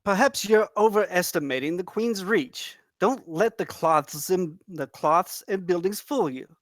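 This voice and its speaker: A performer acting an earnest, cautious servant companion to a princess in a script read, measured and courteous. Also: mid-range voice, expressive, no room sound, studio quality, lightly acted. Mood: cautioning. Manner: measured, courteous, formal diction.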